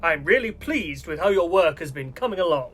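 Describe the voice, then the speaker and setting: An actor playing a courteous but menacing male villain who bosses elves in a radio play, grandiose, formal and measured. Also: fairly high pitch, animated, a bit bright, slight background noise. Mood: commanding.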